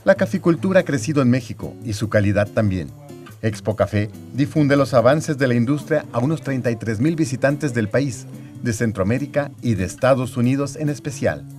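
Male reporter, scripted news narration, medium pitch, light guitar backing. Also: animated, brisk, darkish tone. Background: guitar music bed.

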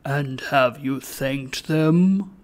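A storybook reader acts out a solemn goblin spirit of an ancient bell. The delivery is slow and weighty, a grave oration full of stern accusation. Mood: stern questioning. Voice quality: low, deep.

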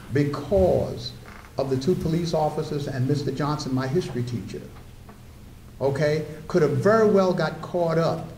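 A man's voice: fairly high pitch, a little mellow, slight background noise. An older man giving a storytelling lecture at a podium microphone, sharing personal anecdotes with asides to the audience. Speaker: guest lecturer. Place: lecture room at a wooden podium. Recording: roomy lecture room.

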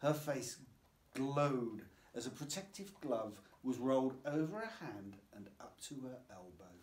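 Expressive, slow-paced male children's storyteller. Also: mid-range voice. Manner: measured, expressive. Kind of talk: scripted children's story narration. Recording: roomy, echoing voice.

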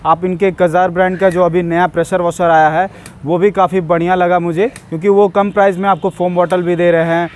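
A man's voice: high-pitched, flat delivery, brisk. A young man casually reviewing and demonstrating a pressure washer gun, chatting to his viewers as friends, recorded outdoors with the camera a few steps away. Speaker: product reviewer. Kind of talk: casual product demo. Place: outdoors in a yard, washing a car.

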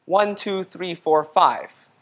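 A man's voice: high voice, slow, fairly bright tone, full character voice. A young man voicing a naive, anxious donkey in a children's storybook, with earnest, fretful exclamations. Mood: worried.

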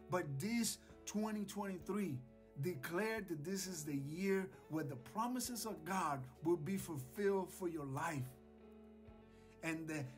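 A man in his forties giving an earnest, exhorting devotional message to camera, repeating phrases for emphasis. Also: high-pitched. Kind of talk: devotional sermon to camera, scripture reading. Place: small indoor home studio. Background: music, guitar.

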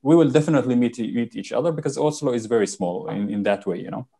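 A man's voice: medium pitch, quick pace, vocal fry, warm tone, slightly rough. A young man who was born in Norway and raised in Sudan, a documentary filmmaker answering interview questions over a video call, searching for words with many fillers. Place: home room with shelves. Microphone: headset on video call.

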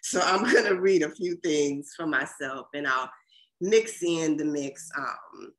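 A middle-aged woman poet reading poems and introducing them casually on a video call, in a measured, deliberate way. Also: low voice, animated, low-quality recording. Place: small furnished room at home, indoors. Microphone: video call computer microphone.

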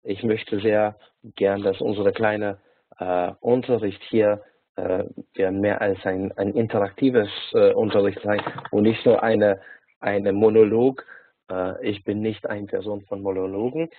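Hungarian-accented man, halting, tinny call. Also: on the low side, steady tone, breathy edge. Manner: halting, many filler sounds and restarts. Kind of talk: online lecture. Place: small indoor room. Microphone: webcam or laptop mic on a video call. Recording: tinny phone-like video call.

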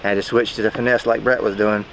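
A man's voice: lowish voice, little pitch movement, fast talker, vocal fry. A man out fishing with a friend, trading casual banter. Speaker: fishing companion. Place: outdoors on a bass boat on a small lake. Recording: noisy outdoor boat recording, often off-mic.

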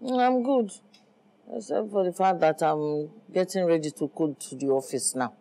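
A man's voice: fairly high pitch, moderate pace, creaky, warm tone. A man playing a nagging Nigerian mother who scolds her son for staying away and wants grandchildren. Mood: reproachful. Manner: scolding, guilt-tripping.

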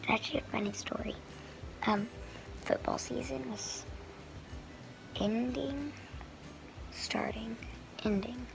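A young teenage girl chatting casually in a home vlog. Her voice is hoarse and she rambles in a soft, breathy way. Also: a little high, slow, long pauses, over music, low-quality recording. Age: young teen girl. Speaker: vlogger. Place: bedroom at home. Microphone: phone camera held close.

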